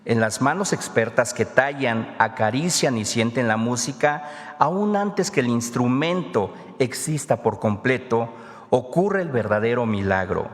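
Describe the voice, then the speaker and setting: A man's voice: mid-range voice, expressive, unhurried. A man whose first language is Ayuuk, reading a solemn scripted segment as presenter at a press conference. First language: Ayuuk (Mixe). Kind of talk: read scripted narration. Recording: reverberant hall.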